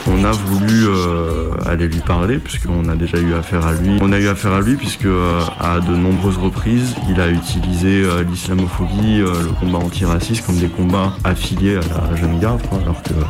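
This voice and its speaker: A man in his twenties giving a personal testimony as an activist, in a halting, hesitant way. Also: low voice, steady tone. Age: 20s. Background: music.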